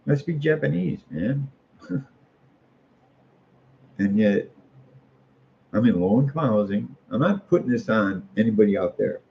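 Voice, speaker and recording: A man's voice: on the low side, animated, measured pace, vocal fry, pauses often. A middle-aged American man in a casual, rambling monologue, speaking in a folksy, opinionated way and repeating phrases for emphasis. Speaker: street artist. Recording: muffled lo-fi audio with faint noise.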